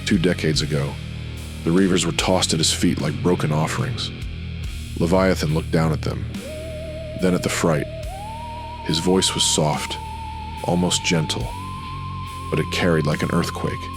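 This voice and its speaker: Guy narrating dark noir audio drama, super deep voice, moody short phrases, music going behind him. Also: huge pitch swings, a little slow, pauses often.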